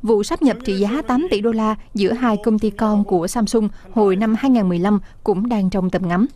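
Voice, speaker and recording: Mellow-voiced female news narrator. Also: mid-range voice. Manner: steady, measured newsreading. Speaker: news reporter. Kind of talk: scripted news voice-over.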